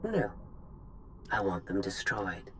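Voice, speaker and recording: A woman playing a proud, cold female droid in a video game, speaking in a measured, formal way. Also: a bass voice, very animated, a bit bright, a little hiss or hum, exaggerated voice. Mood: cold, resolute. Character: cold, proud female droid who broke free of control. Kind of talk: scripted video game acting.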